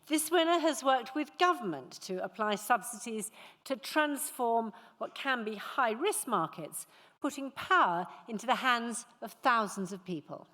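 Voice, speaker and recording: A woman's voice: on the high side, expressive, a little slow, a bit bright. Woman hosting in a very reverberant hall. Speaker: awards ceremony host. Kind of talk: live event presenting. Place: large indoor ceremony hall. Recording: echoey hall with long reverb.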